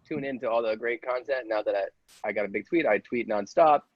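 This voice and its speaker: A man's voice: mid-range voice, fairly quick. A man performing on a podcast, chatting casually with mock-boastful joking. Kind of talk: casual chat. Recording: dull, clearly noisy audio with clicks.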